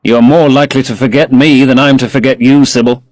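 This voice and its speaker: A man's voice: medium pitch, fairly even intonation, fairly quick, darkish tone, no echo, natural voice. A man reading aloud and voicing a sullen, protective young man who speaks bluntly.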